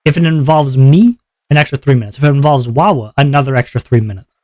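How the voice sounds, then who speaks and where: A man's voice: fast talker, dry recording. A man streaming live, explaining his event's rules to chat in a casual, upbeat way and checking in with viewers often. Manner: relaxed lower voice after a viewer redeem.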